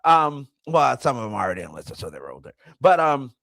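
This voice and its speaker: A man's voice: mid-range voice, big pitch swings, a little fast, gravelly, fairly bright tone, no room sound. Rambling man in his forties, dry close sound. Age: 40s. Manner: rambling, talks to chat, self-correcting. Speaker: livestream host. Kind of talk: casual livestream commentary.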